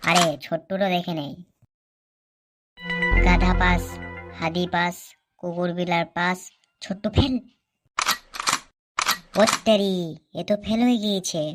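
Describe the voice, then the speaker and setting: A woman's voice: fairly low pitch, fairly even intonation, measured pace, pauses often. A woman speaking dialogue for a comedy cartoon. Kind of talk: cartoon comedy dialogue voicing several characters. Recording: loud music behind the dialogue.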